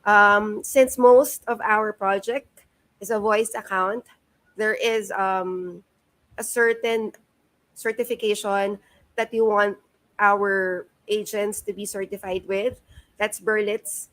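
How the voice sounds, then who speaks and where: Filipino lady in her 30s giving a career talk, lots of ums, mid pitch. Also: steady tone, a bit bright, poor audio. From Philippines. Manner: halting, frequent "um" fillers, mixes in Tagalog. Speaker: guest speaker at a career talk. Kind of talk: career presentation to students. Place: not present in the room, speaking remotely.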